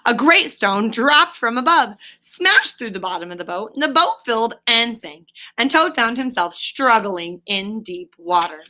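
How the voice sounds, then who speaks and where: A woman's voice: a little high, animated, a little slow, hardly any pauses, pushed and bright. A woman reading a children's story aloud expressively, as a storyteller who explains things to a listener. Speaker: reader, storyteller.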